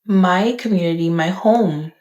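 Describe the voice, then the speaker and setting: A young woman from the Bahamas, talking to camera in a thoughtful, candid, measured way. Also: fairly low pitch, a little mellow, roomy sound, highs cut off. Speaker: vlogger. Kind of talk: reflective talk to camera.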